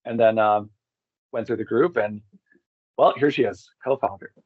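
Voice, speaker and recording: A man's voice: lowish voice, moderate pace, no echo, phone-quality sound. A man talking casually as an interview guest, rambling and circling back to restate his points. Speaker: guest, expedition group co-founder.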